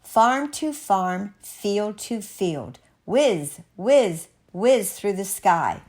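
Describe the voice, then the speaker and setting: A woman reading a rhyming children's picture book aloud as a storyteller, expressively, with measured, dramatic, sing-song emphasis. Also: medium pitch, very animated, a little mellow.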